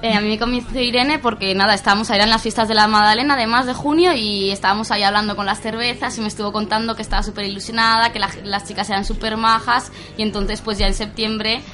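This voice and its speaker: Female guest, Spain accent, forceful bright tone, quick casual talk. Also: mid-range voice, fairly even intonation, faint music behind. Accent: Spanish from Spain. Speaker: interview guest, roller derby player. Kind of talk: casual chat.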